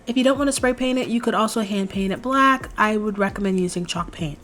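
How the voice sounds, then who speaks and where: Young female craft host, a bit hoarse from a cold, chatty tutorial voice-over, mid pitch, faint music under her. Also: fast. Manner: chatty, relaxed, explains steps plainly. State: slightly hoarse, recovering from a cold. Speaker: DIY craft channel host. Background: faint background music.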